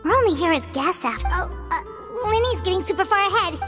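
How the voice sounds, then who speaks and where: Very high and bouncy, a chirpy little floating sidekick from a fantasy game, blunt and excitable. Her voice leaps all over its range. Game music plays underneath. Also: fairly quick. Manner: excitable, chatty. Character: tiny floating female companion, chirpy and blunt. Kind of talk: game voice acting.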